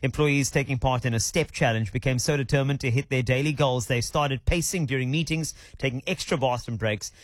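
A man's voice: medium pitch, brisk, hardly any pauses, no room sound. A man with a South African accent hosting a radio show, chatting and telling stories with easy laughs. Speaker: radio host. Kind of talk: casual radio chat. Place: radio studio. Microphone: studio microphone.

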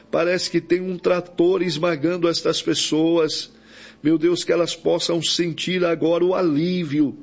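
Male Brazilian evangelical preacher, slightly high gravelly voice, pleading prayer full of invocations, dull recording. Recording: dull, lo-fi audio.

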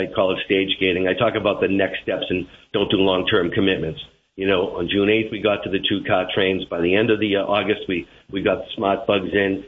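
A man with a Boston accent, a transit manager being interviewed on the radio, talking with lots of "uh"s and restarts. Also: sits low. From Boston area. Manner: hesitant, many fillers and restarts. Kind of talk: radio interview over the phone. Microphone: phone line. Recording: tinny phone line.

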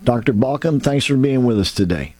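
A man's voice: mid-range voice, lively intonation, brisk, a little mellow, no echo. A man hosting a talk show, rambling and folksy, with many restarts and asides. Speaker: radio show host. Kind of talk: casual radio talk show.

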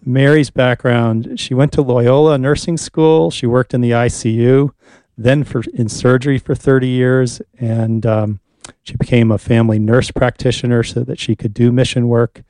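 A man hosting a live radio talk show from the studio, relaxed and chatty, with many pauses and fillers. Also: mid-range voice, no room sound.

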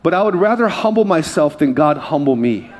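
Pastor from Hawaii, male, fiery sermon with call-outs for amen, slightly high voice. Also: animated, a little fast. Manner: exhorting, calls for amens, swings between loud high bursts and low quiet asides.

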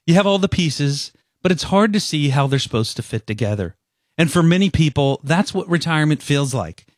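Measured male radio ad voice. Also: on the high side, expressive, dry recording, studio quality. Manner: smooth, measured, persuasive. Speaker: ad spokesman. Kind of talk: scripted radio ad read.

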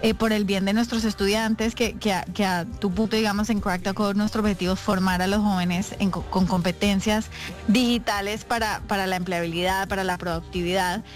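Measured woman guest, hesitant. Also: medium pitch, fairly even intonation. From Colombia, raised in Costa Rica. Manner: measured, many fillers and restarts. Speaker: guest, company CEO. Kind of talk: radio interview. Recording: music playing faintly underneath.

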